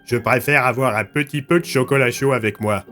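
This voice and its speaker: An actor playing an easygoing, joking police lieutenant in an audio drama, casual and wry. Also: male voice, mid-range voice, fairly quick, fairly bright tone, soft background music, lightly acted.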